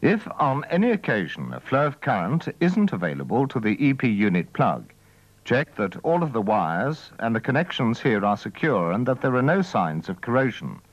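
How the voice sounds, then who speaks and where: Male narrator for vintage technical instruction film: methodical, formal, animated pitch movement, dull lo-fi sound. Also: moderate pace, dry recording. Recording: dull, dry old training-film soundtrack.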